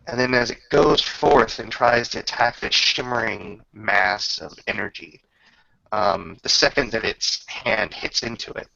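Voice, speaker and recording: Slow, gravelly male game master. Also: fairly low pitch, bright, forceful tone, highs cut off. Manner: dramatic, descriptive, with pauses. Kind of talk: improvised tabletop game narration.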